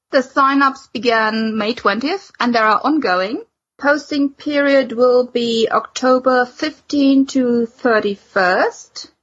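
A woman co-hosting a fan podcast, giving announcements in a measured conversational way. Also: a little high, a bit bright, muffled recording. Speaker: podcast co-host.

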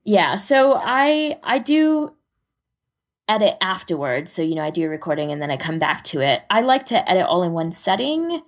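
Low-voiced woman in casual podcast chat. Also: very animated, normal speed. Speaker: podcast co-host.

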